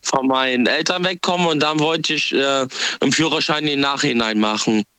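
A young man calling in to a radio show, talking haltingly with many pauses and fillers. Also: mid-range voice, pushed and bright, clean tone, no echo. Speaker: caller. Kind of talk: phone-in chat.

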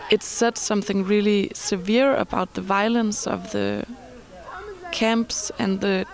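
A woman giving a thoughtful, reflective account, often pausing in mid-sentence. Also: medium pitch, steady tone, creaky. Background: crowd and ambient noise.

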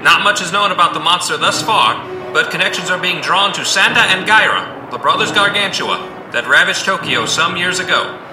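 A high-pitched male news broadcaster played by an actor delivers a grave emergency bulletin in an audio drama. The voice echoes over music, formal and urgent. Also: expressive, normal speed.

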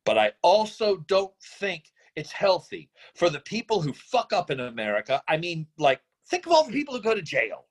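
A man's voice: high voice, big pitch swings, normal speed, few pauses. A man acting an idealistic, defensive drifter father in a screenplay reading, speechifying earnestly in a rambling way. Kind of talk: screenplay table-read acting.

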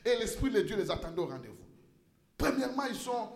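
A male pastor with an unusually high voice preaches with fervor, climbing into shouts and tripping over repeated words. His voice rings around an echoey church room. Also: sing-song, fairly quick, gravelly. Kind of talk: sermon.

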